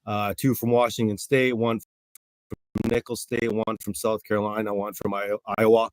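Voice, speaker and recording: A man in his forties, a coach as interview guest, talking in an upbeat, relaxed way with fillers. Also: on the low side, moderate pace, no echo, rough recording. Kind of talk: casual interview.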